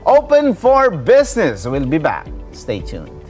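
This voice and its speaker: A man's voice: a little high, huge pitch swings, measured pace, darkish tone, soft background music. A man with a Filipino accent hosting a talk show interview, switching between English and Tagalog as he thanks his guest. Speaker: host.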